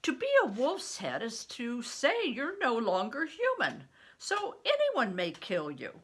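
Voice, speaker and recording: A big, jovial man from a storybook, as a woman reader puts him on, preaching mirth. The delivery is slow, forceful and emphatic, with very lively pitch. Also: breathy edge, exaggerated voice. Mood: teasing, grave.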